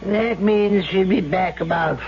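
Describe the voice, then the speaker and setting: High-pitched, slow-talking scheming trader. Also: male voice, highs cut off, faint music behind. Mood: brisk, jovial. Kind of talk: old radio drama acting.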